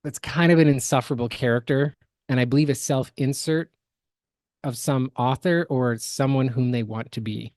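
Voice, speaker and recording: A man co-hosting a podcast, explaining things in a casual commentary style with halting, emphatic phrasing. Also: medium pitch, a little mellow, no room sound, studio quality. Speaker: podcast co-host.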